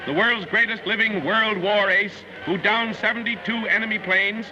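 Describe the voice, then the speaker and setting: High-pitched 1940s newsreel man. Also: normal speed, background noise. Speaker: newsreel narrator. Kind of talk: 1940s newsreel scripted narration. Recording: muffled 1940s newsreel soundtrack. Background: music.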